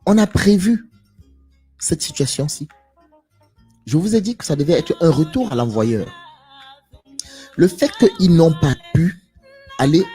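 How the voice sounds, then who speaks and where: A man's voice: fairly high pitch, warm tone, husky. A man giving an impassioned live monologue to viewers, emphatic and preachy, repeating phrases and asking rhetorical questions. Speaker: live stream speaker.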